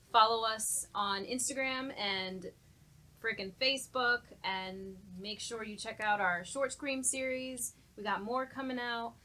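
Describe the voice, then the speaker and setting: A woman's voice: medium pitch, sharp tone. A woman co-hosting a podcast, chatting in an informal, playful way. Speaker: podcast co-host. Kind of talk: casual podcast chat.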